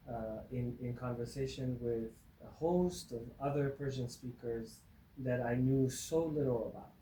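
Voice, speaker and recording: A man of forty from Iran speaks as an academic guest, wry and joking, with halting fillers. His voice sits in a mid register in an echoey room, with faint ambient hiss behind him. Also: medium pitch, mellow. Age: 40s. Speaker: guest, professor. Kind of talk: interview conversation.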